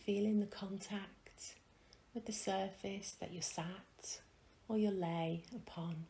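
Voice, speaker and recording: A woman leading a guided meditation in a soft, hushed, breathy voice, gently and soothingly, with long pauses. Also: medium pitch, fairly even intonation, slight background noise.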